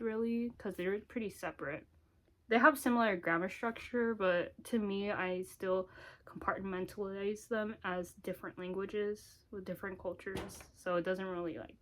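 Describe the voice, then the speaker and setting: Casting: woman, 20s, American accent, mid-pitched voice, casual vlogger delivery, informal and giggly. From United States. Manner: informal, giggly, frequent "like" asides. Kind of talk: casual chatty introduction to camera. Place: small home office and craft room.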